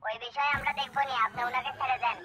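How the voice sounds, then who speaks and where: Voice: high voice, steady tone, rapid-fire, bright, forceful tone, faint music behind. A performer voicing a stern, impatient boss in a cartoon comedy, with a commanding, curt manner.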